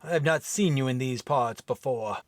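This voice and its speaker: A man voicing a rough, boastful male Viking warrior with a deep, rough put-on brogue accent. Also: moderate pace, no room sound, close to an everyday voice. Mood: bellowing.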